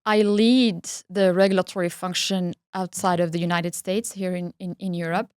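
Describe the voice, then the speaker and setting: Articulate middle-aged woman, smooth voice. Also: fairly low pitch, steady tone, normal speed, darkish tone, no room sound, studio quality. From Algeria. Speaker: guest, pharma regulatory head. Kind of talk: interview conversation.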